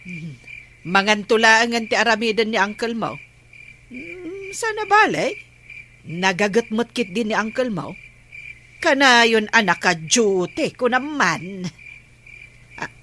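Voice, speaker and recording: Nagging captain's wife, Filipino accent, unhurried pace, piercing tone, radio comedy, some noise behind. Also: female voice, on the high side, expressive, bright, forceful tone, full character voice. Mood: grumbling. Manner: scolding, questioning.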